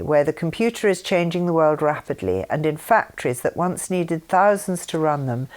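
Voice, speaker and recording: Low-voiced woman reading aloud. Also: darkish tone. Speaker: reader of her mother's writings. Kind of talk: reading aloud from a written text.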